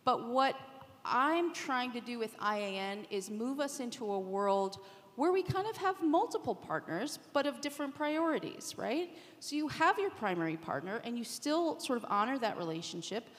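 A woman with a fairly high voice explains her ideas on a conference panel. She poses rhetorical questions and often checks that people follow. The room gives her voice a long reverb. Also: sing-song, normal speed. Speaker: media executive, panelist. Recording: echoey hall, long reverb.

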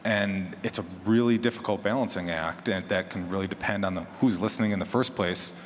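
A man's voice: low voice, a little fast. A man speaking informally on a conference panel about his craft, conversational, posing rhetorical questions and voicing imagined quotes. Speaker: panelist, fiction podcaster. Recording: reverberant hall, clear background noise.

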